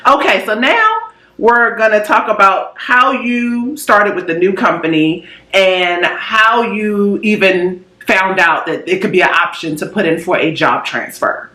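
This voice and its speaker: Outspoken woman, bright voice, asks questions, echoey room. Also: mid-range voice, a little slow. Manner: lively, outspoken, steers the talk with questions. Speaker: co-host. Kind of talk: casual chat.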